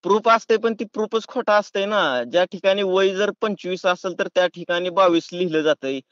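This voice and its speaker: A man's voice: sits high, normal speed, a bit bright, dry recording. A man with a rural Maharashtrian accent talking in a pushy, folksy, argumentative way on a prank phone call. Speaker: prank caller. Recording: dull, lo-fi phone call recording.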